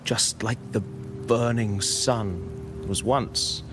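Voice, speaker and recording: An actor playing a mysterious traveling poet in a video game, speaking in an eloquent, formal, measured way over storytelling and dialogue. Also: male voice, fairly low pitch, gravelly, over music, heavily acted. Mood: solemn storytelling. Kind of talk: theatrical game dialogue and narration.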